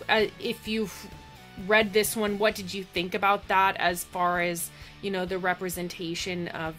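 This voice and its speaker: A young woman talking casually in a reading vlog, thoughtful and halting, drawing out words for emphasis. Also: on the high side, sharp tone. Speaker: book vlogger.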